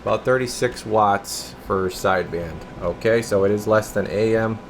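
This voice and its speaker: Low-voiced man demonstrating gear over room hum. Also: moderate pace. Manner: methodical, matter-of-fact, technical. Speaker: radio technician, channel host. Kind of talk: casual hands-on equipment demo narration. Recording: steady room hum and background noise.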